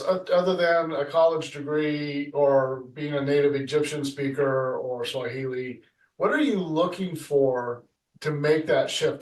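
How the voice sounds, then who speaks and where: A man's voice: fairly high pitch, distant mic. A male interview host asking curious, somewhat rambling questions. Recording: roomy sound from off mic.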